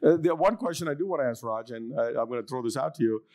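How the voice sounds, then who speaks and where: A man's voice: mid-range voice, animated, quick pace, a little mellow. A man moderating a live medical conference panel, asking probing questions with frequent restarts.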